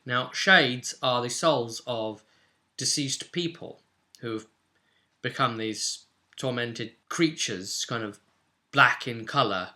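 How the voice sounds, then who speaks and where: A man casually recapping a tabletop roleplay session as game master, with expressive storytelling and dramatic emphasis. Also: medium pitch.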